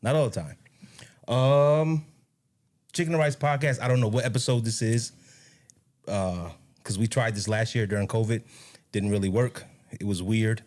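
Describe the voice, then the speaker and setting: A man in his late thirties jokes around with his wife on their podcast. He is relaxed and slangy, and his voice sits on the low side. He keeps an unhurried, playful tone. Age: 30s. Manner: laid-back, slangy, joking. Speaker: podcast co-host. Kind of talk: casual chat with his wife.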